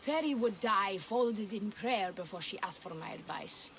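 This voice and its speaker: A woman's voice: mid-range voice, animated, a little slow, a little hiss or hum, close to an everyday voice. An actress playing a feisty, headstrong woman warrior, a younger sister, in a video game, forthright and sardonic. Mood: determined, bitter. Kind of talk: video game cutscene acting.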